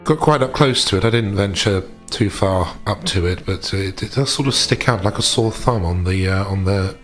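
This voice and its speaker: Low-voiced, hesitant gentleman investigator, played by a man. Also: soft background music. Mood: measured, tactful. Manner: hesitant, measured, formal period phrasing. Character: reserved, polite gentleman investigator in the post-war era. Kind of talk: improvised tabletop roleplay.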